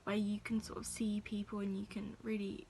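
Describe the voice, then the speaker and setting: A woman's voice: medium pitch, completely flat delivery, a little fast, vocal fry, dark tone, slight background noise. A young woman, reflecting on her youth theatre work in an interview style. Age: teens. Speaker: youth theatre company member.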